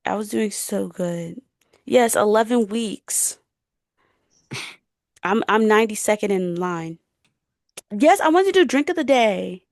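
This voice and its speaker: A woman's voice: medium pitch, animated, measured pace, vocal fry, pauses often, no echo, clean studio sound. A young woman co-hosting a podcast in casual, chatty, slangy conversation. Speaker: podcast co-host.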